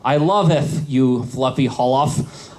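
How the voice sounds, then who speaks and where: A man reading garbled fanfiction aloud for comedy, deliberately sounding out every misspelling. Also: mid-range voice, darkish tone, low-quality recording. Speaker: panel reader.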